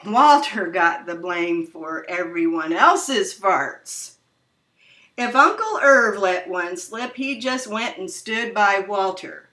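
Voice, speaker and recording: Animated, bright-voiced woman reading a storybook. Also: mid-range voice. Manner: dramatic, drawn-out emphasis. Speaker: storyteller reading a children's picture book aloud. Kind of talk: expressive read-aloud storytelling. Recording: roomy echo, distant microphone.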